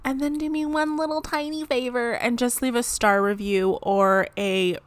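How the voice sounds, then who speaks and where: A woman hosting her own podcast, rambling casually with lots of "like" and quick laughs, her voice a little strained. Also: fairly high pitch, fairly even intonation, dry recording. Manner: rambling, chatty, frequent "like" and laughs. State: slightly strained voice. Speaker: podcast host. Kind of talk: casual solo podcast chat. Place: home, seated.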